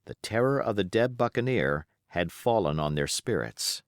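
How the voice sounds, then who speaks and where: A man's voice: lowish voice, lively intonation, moderate pace, no echo, studio quality. A man reading a classic novel aloud as scripted audiobook narration.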